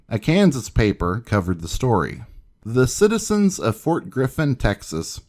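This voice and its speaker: A man's voice: fairly low pitch, darkish tone. A man hosting a history video, reading scripted documentary narration.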